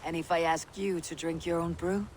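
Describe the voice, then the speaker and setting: An actress playing a stern female Viking warrior in a video game, blunt, steady and menacing. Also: lowish voice, moderate pace, a little hiss or hum, lightly acted. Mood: calm. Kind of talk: video game cutscene acting. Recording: game audio over loud ambience.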